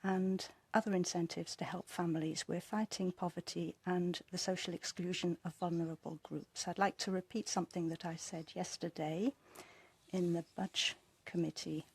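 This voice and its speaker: A woman with a low voice that is gravelly and husky. She speaks in a measured, deliberate way, as if giving a formal conference speech. The sound is close and dry. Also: level tone, a little mellow, no echo.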